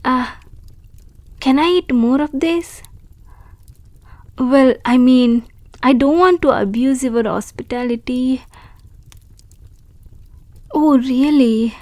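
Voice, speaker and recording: Woman voicing a shy, soft-spoken wolf girl. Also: sits high, takes it slowly, long pauses, no room sound. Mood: bashful. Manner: soft, polite and hesitant, with stammers. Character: shy grateful young female wolf creature. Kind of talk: audio roleplay.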